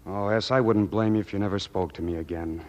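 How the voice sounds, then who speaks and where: A man's voice: on the low side, fast talker, highs cut off, lightly acted. A man with an American accent playing an earnest, awkward young suitor in an old radio drama, hesitant and boyish. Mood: sheepish, apologetic. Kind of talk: 1940s radio drama acting. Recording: old radio broadcast with music behind.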